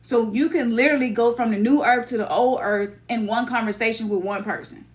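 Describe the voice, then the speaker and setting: A woman's voice: fairly high pitch, fairly even intonation, brisk. A middle-aged woman from Memphis with a Memphis Southern accent, talking casually as a spiritual teacher, loose and slangy, sometimes acting out her own inner voice. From Memphis, Tennessee. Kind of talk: casual spiritual talk to listeners.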